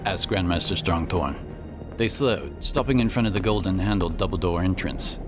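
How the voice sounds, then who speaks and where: A man's voice: low-pitched, normal speed. A man narrating a scripted fantasy audiobook as a storyteller. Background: prominent background music.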